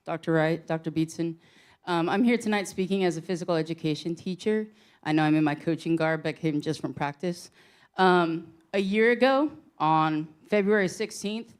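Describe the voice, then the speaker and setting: Loud-voiced woman teacher at a podium. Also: medium pitch. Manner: loud, forceful, pointed. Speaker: physical education teacher. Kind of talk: public comment speech. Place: school board meeting room. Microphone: standing at a podium mic.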